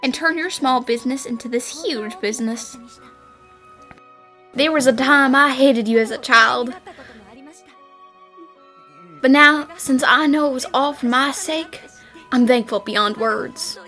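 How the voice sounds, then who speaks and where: A woman playing an earnest, devoted young adult daughter, speaking sincerely and gently in an animated drama fan dub. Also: high voice, measured pace, pauses often, soft background music. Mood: tender, grateful.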